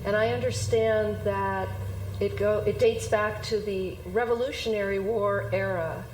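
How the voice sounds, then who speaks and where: A woman with a mid-pitched voice hosts an interview program in a prepared style. The room is very echoey, with faint noise behind her. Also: fairly even intonation, unhurried, darkish tone.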